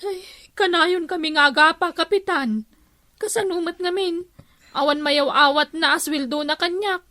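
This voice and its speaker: A woman's voice: very high voice, moderate pace, sharp tone, no room sound, clean studio sound. A woman with an Ilocano accent playing an aggrieved, pleading wife in a radio comedy drama.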